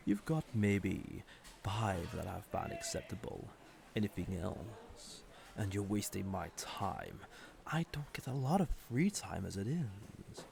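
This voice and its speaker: An actor playing a haughty, sardonic villain commander in a roleplay audio drama, condescending and drawling, with mock-sweet menace. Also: male voice, on the low side, vocal fry, darkish tone, slight background noise. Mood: cold, teasing.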